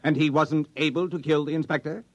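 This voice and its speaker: An actor playing a brisk, scornful general, crisp and dismissive, in 1950s radio style. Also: male voice, a little high, steady tone, lo-fi sound, close to an everyday voice. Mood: dry questioning. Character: brisk, scornful, calculating military general from Earth. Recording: noisy old radio broadcast.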